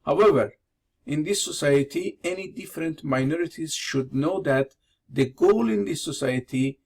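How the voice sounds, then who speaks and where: Iranian-born guy giving a lecture, slow careful english, bit higher voice. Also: creaky. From Iran. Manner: measured, deliberate delivery in non-native English. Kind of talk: prepared lecture with slides.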